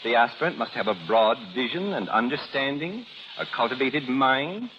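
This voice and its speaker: Male drama coach, 1930s radio speech. Earnest, oratorical, animated intonation, mid pitch, dull vintage recording. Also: moderate pace. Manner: earnest, oratorical, formal, emphatic lists. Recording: muffled 1930s radio recording, faint noise.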